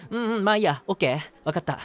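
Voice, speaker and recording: A man's voice: high-pitched, lively intonation, very fast, fairly bright tone, pitched above the performer's own voice. A man voicing an eager, easily flustered young man in love, chatty and self-deprecating, in a romantic audio drama. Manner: chatty, self-deprecating, earnest, hesitant asides. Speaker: voice actor.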